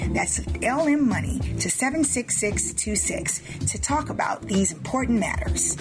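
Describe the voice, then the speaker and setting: A woman's voice: fairly high pitch, animated, moderate pace, music in the background. A woman in her forties co-hosting a radio talk show, emphatic, repeating key words and laughing often. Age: 40s. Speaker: radio co-host. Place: radio studio.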